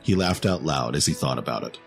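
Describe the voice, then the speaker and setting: A man's voice: very deep voice, lively intonation, brisk, gravelly, faint music behind. A man narrating a scripted fantasy story in a dramatic storytelling style.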